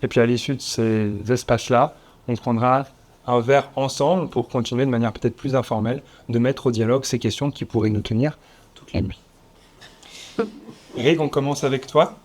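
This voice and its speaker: Measured man moderating a round table. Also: mid-range voice, steady tone, darkish tone. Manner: measured, slightly formal, with occasional fillers. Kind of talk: formal event introduction. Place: conference room with audience.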